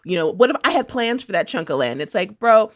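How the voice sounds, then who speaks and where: A woman's voice: mid-range voice, sing-song, a little fast, no room sound, studio quality. A woman contributing to a casual podcast, slangy and playful, slipping into little imitations of others as she talks. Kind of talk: casual chat.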